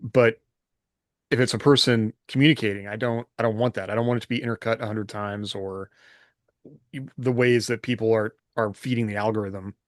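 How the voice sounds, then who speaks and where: A man's voice: fairly low pitch, steady tone, brisk, dry recording, clean studio sound. A man co-hosting a podcast in a casual, conversational style. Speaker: podcast co-host and fiction writer.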